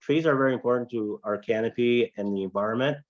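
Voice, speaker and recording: Hesitant Canadian man candidate, dull sound. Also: medium pitch. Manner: measured, repeats himself, hesitant. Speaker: council candidate. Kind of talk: candidates' debate answer.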